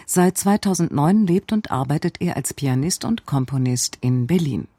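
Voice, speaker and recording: Male presenter for arts radio intro: soft-edged husky voice, explanatory and measured, very dry sound. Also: on the high side, lively intonation, no room sound. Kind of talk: scripted introduction. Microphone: close studio microphone.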